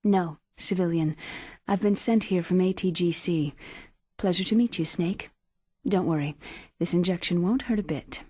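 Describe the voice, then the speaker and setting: A woman's voice: on the low side, normal speed, darkish tone, breathy edge, dry recording, clean studio sound. An actress playing a composed, lightly teasing female military-unit medic in a video game cutscene, polite and poised.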